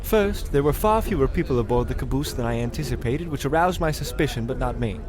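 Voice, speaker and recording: An actor playing a pompous, melodramatic, absurdly self-assured detective in the theatrical style of old radio comedy. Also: male voice, medium pitch, lively intonation, brisk, crowd noise, natural voice. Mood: smug. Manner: theatrical, grandiose deductive declarations.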